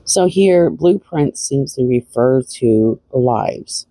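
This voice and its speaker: A woman's voice: very deep voice, animated, takes it slowly, warm tone, no room sound, poor audio. A woman hosting a true-crime show, giving casual analytical commentary.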